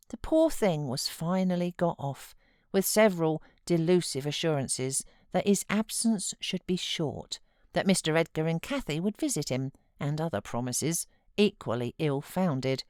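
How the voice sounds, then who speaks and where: A British woman narrates literary fiction in a low voice. Her storytelling is measured yet animated, with a wide swing in intonation. The sound is close and dry, like a studio read. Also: no echo. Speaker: audiobook narrator, voiceover artist.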